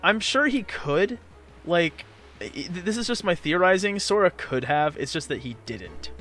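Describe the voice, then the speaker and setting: A man's voice: sits high, sing-song, a little slow, a bit bright. A man giving casual, slangy, playfully sarcastic gameplay commentary. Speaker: let's play host playing the game. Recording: game music behind the voice. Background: video game music.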